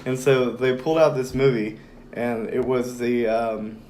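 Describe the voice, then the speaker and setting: Hoarse young guy, rambling guest on a casual chat show, some light hiss in the background. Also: medium pitch, a little slow. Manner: relaxed and rambling, many fillers. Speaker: podcast guest. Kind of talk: casual conversation.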